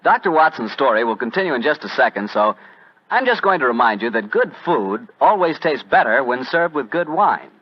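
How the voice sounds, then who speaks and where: An American man announcing and pitching the sponsor on 1940s radio in a chatty, folksy way. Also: sing-song, fairly quick, slight background noise. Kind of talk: 1940s radio advertising and hosting. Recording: muffled vintage radio recording.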